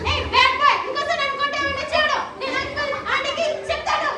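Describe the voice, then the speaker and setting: A woman voicing an excitable, easily offended little puppet girl in a children's show, with a whiny, insistent, childlike manner. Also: very high voice, fast, distant mic. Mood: indignant. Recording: very echoey noisy hall PA from afar.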